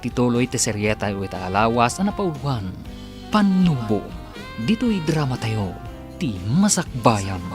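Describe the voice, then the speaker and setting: A man's voice: medium pitch, very animated, measured pace. A man with a Filipino accent reading scripted announcements and narration as the announcer of a radio drama serial. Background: instrumental music, guitar.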